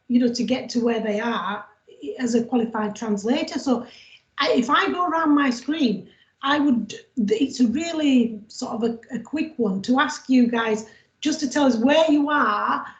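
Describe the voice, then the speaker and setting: A chatty woman hosting a casual video call with a slightly high voice. She talks in loose, looping sentences full of restarts. Her audio comes over a dull, lo-fi line from an echoey room. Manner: chatty, warm-hearted fillers and restarts. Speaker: host.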